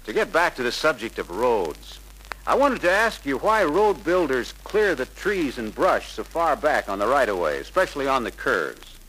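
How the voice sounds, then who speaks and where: Young male salesman: polite, persuasive, forceful bright voice, faint noise of an old 1950s film soundtrack. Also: mid-range voice, sing-song, moderate pace, low-quality recording. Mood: friendly, confident. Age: young adult. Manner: deferential, persuasive, earnest. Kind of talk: 1950s sales pitch acting.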